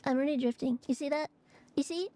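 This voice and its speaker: Raspy-throated young woman streamer, high voice. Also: fast talker, dark tone, no echo. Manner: rambling, chatty, many fillers and restarts. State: slightly hoarse from allergies. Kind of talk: casual chat to stream viewers.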